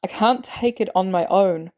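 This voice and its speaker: A woman voicing a gruff male soldier on the run in an audiobook, speaking bluntly in clipped, urgent phrases. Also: mid-range voice, moderate pace, darkish tone, close to an everyday voice.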